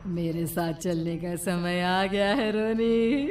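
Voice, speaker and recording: A woman voicing a vengeful female witch in a cartoon, gloating and cackling with slow, drawn-out threats. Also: fairly low pitch, distant mic, background noise. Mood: menacing gloat. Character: vengeful female witch stealing village children. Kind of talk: cartoon voice acting.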